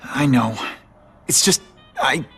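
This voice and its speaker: A man's voice: mid-range voice, lively intonation, a bit bright, husky, faint music behind, heavily acted. A man playing an earnest, wisecracking young adult superhero in a game, sincere and a little hesitant. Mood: troubled. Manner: hesitant, sincere, quick to joke. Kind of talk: naturalistic dramatic game dialogue.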